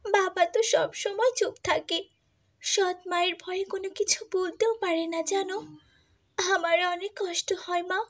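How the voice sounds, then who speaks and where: A woman acts out a meek, mistreated young cartoon heroine. Her voice is very high and soft, with a plaintive, pleading sorrow to it. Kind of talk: Bengali cartoon dubbing.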